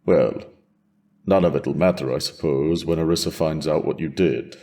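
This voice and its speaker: A man voicing a wise, steady dragon in a fantasy audio drama, speaking in a measured, gently probing way. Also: rumbling low. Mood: wry, fond. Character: wise, steady male dragon, bonded to his rider.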